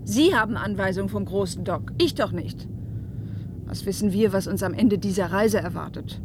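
Woman acting headstrong questioner, mid-pitched, earnest, emphatic, radio drama, clear engine ambience. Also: normal speed, natural voice. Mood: defiant. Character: headstrong, questioning woman defying a secretive leader. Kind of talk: German science-fiction radio play acting. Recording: radio play with clear vehicle noise.

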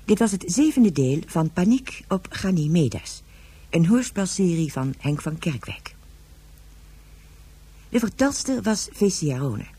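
Very husky mellow woman announcer. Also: deep voice. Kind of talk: scripted radio drama closing credits.

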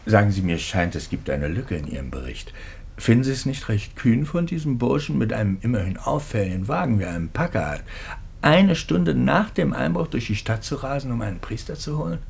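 A man's voice: mid-range voice, sing-song, fairly quick, vocal fry, darkish tone, slightly rough, full character voice. An actor playing an earnest male priest in a 1950s radio drama, who speaks up loyally and emphatically for a friend.

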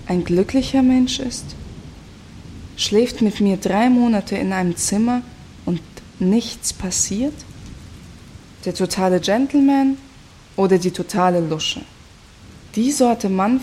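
An actress playing a betrayed, bitter female commune dropout in a radio play, speaking in a reflective, wry, measured way. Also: mid-range voice, warm tone, a little hiss or hum, close to an everyday voice. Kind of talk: radio play dialogue and monologue.

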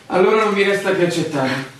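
An actor playing a stubborn, sardonic outsider who argues with authority in a radio drama. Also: male voice, high voice, expressive, a little fast, slight background noise. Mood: reluctant. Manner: sardonic, argumentative. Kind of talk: Italian radio drama acting. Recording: very echoey, distant radio play recording.

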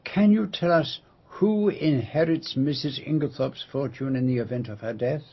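An actor playing a courteous, shrewd detective with a French accent in a radio drama, formal and measured as he probes and gently teases. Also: mid-range voice, breathy edge. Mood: courteous, inquiring. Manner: formal, polite, measured, probing. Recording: dull, lo-fi radio drama recording.

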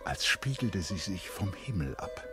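A man narrates a literary radio drama in a very deep voice. His delivery is measured and contemplative, and plucked string music plays clearly behind him. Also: sing-song.

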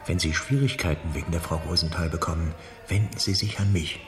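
An actor in a radio drama playing a cautious retired judge, older, hushed, urgent and conspiratorial. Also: male voice, deep voice, a little fast, over music, lightly acted. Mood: hushed warning. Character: cautious, secretive retired male judge, kindly warning. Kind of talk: German radio drama acting.

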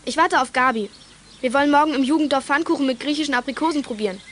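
A cheeky teenage girl detective for a children's radio play. Her voice is high and she rattles along quickly. She sounds pert, plucky and matter-of-fact, with faint noise behind her. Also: fairly bright tone. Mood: defiant, cheeky. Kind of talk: German children's audio drama acting.

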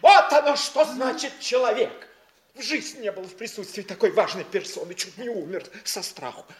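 An actor playing an awestruck, gossipy provincial gentleman in a theatrical radio play, exclaiming as if deeply impressed. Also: normal speed, slight background noise, natural voice. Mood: awestruck, excited.

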